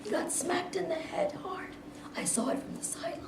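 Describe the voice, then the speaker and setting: A woman's voice: extremely high pitch, little pitch movement, a little fast, whispered. A woman playing a concerned, blunt team physician in a staged reading, recorded from a distance in a noisy, echoey room. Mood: blunt warning.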